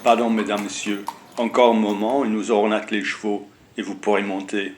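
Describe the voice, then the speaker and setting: A man's voice: fairly low pitch, flat delivery, fairly quick, slight background noise, natural voice. Man acting a plain-spoken working coachman. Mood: businesslike. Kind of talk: student radio play acting.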